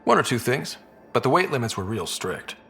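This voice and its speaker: An actor playing a wry, steady young expedition leader in a video game, with a husky voice. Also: male voice, medium pitch, a little fast, heavily acted. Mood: wry, friendly. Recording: game dialogue over faint music.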